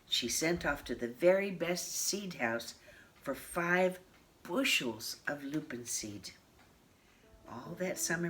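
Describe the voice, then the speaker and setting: A woman narrating a children's picture book in a measured, expressive storytelling manner. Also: deep voice. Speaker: storybook reader. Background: instrumental music.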